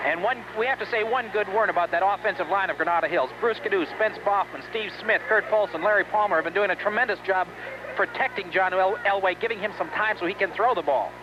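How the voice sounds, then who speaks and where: Male American color commentator for football radio, quick talker with a high voice, dull and noisy vintage broadcast sound. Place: outdoors at a stadium.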